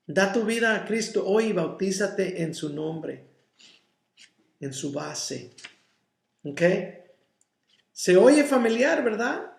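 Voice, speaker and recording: Slow, gravelly man preaching in an echoey room. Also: sits high, darkish tone. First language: English. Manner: slow, deliberate teaching with frequent "ok?" checks; mixes in English words. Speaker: pastor. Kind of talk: Bible study sermon. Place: church.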